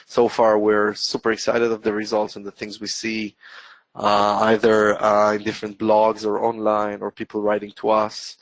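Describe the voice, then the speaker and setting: A man's voice: fairly low pitch, completely flat delivery, normal speed, no room sound, low-quality recording. A man with an Israeli accent, a guest presenter answering webinar questions, hesitant with many fillers and restarts. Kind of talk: webinar Q&A explanation.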